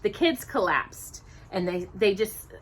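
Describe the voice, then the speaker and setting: A woman reading a story aloud to children in an expressive way, now and then stumbling over words. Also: medium pitch, slow, husky, rough recording.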